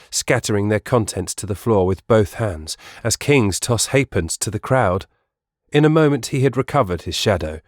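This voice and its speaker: A man's voice: on the low side, no room sound, clean studio sound. A man reading scripted storybook narration as the narrator of an audiobook.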